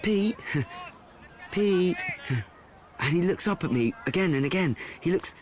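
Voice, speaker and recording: A man's voice: sits high, lively intonation, takes it slowly, slight background noise. An actor playing an anxious, awkward schoolboy who narrates his woes in a rambling radio play monologue, correcting and repeating himself. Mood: flustered. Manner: rambling, repetitive, self-correcting.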